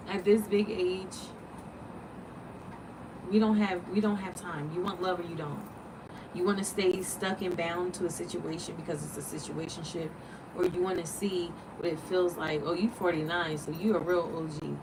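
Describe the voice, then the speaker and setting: Woman in her late thirties, livestream host, animated and preachy, lowish voice, distant mic, vehicle noise behind. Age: 30s. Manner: animated, preachy, rhetorical questions. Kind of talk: casual livestream talk.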